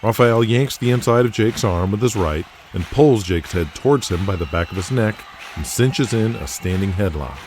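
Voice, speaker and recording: A man's voice: lowish voice, lively intonation, moderate pace, hardly any pauses, a little mellow, a little hiss or hum. A man narrating a scripted audio drama.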